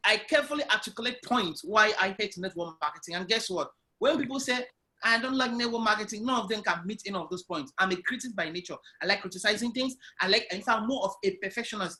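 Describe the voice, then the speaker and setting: A man talks through his entrepreneurial journey in an online interview. His voice sits high and bright, and he tells his story loudly and with animation, as heard through a video call. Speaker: guest entrepreneur.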